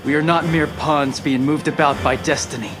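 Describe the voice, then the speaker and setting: A proud, idealistic hero, voiced by a man in a fantasy game. His fairly high voice is resolute and declarative over music. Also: fairly even intonation, normal speed, lightly acted. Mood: defiant.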